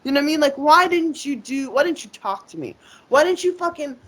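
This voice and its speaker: A woman with a slightly high voice talks loosely and at length. She curses freely, circles back on herself and keeps starting her sentences over. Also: animated, a little fast, fairly bright tone. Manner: rambling, profane, frequent fillers and restarts. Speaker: interview guest. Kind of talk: casual conversation.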